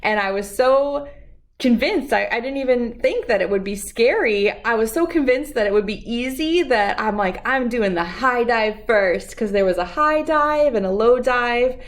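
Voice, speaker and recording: A woman speaking as a children's read-aloud host, expressive and storybook-like, with drawn-out emphasis. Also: fairly high pitch. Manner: slow, animated delivery with drawn-out emphasis for young listeners.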